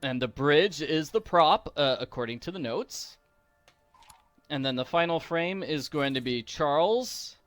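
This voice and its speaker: A man's voice: on the high side, lively intonation, measured pace, fairly bright tone. A man giving casual, playful walkthrough commentary on a video game, explaining each step as host. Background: music.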